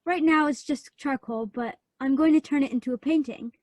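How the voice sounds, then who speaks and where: A woman acting a spirited, petulant young girl who loves art and has a sharp tongue, in a radio play. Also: high voice, brisk, no echo, natural voice. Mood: eager. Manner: petulant, sarcastic.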